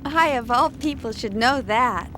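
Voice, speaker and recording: A young female engineer from a video game, played by an actress, with a high voice and a gentle, caring way of talking. She sounds conversational, with clear noise behind her. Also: unhurried, natural voice. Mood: wistful, tender.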